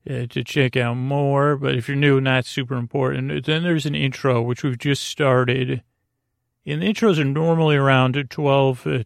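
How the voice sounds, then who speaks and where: A man hosting a sleep podcast with a creaky voice, rambling gently and haltingly through soothing, slightly silly tangents. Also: mid-range voice, no room sound, clean studio sound. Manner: meandering, halting, frequent tangents. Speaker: podcast host. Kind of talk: rambling bedtime monologue to lull listeners to sleep.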